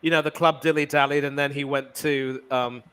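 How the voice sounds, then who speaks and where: A man casually chatting on a football watch-along and switching into measured, commentator-style play-by-play. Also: fairly high pitch, steady tone, a bit bright, clear, resonant tone.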